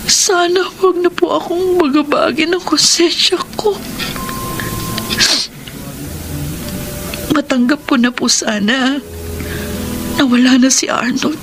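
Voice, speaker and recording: An actress playing a remorseful, devout widow in a radio drama, with a Filipino accent and an earnest, emotional delivery. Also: female voice, high voice, fairly even intonation, normal speed, rough recording. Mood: tearful pleading. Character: remorseful, devout widow, forgiving, seeking a fresh start. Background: music behind the voice.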